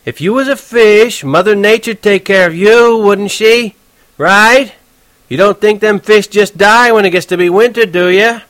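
Guy putting on a cranky middle-aged cab driver, yelling high and loud, rough slang. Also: unhurried, dry recording. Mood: gruff. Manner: shouting, touchy, rough street slang.

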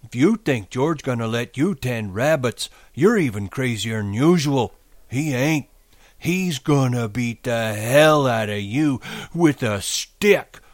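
Man voicing a scornful, slow-drawling giant rabbit. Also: mid-range voice, animated, dry recording, studio quality, voice pushed up. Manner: drawn-out, taunting delivery in rural American dialect. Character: scornful, foul-mouthed gigantic imaginary rabbit, male-voiced.

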